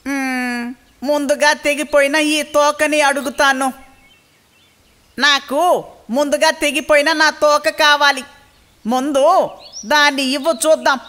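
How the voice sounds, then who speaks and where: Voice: sits high, flat delivery, measured pace, pauses often, sharp tone. A performer voicing a mischievous, cocky young monkey in a children's cartoon, exclamatory and bossy, with music behind. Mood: delighted, scheming.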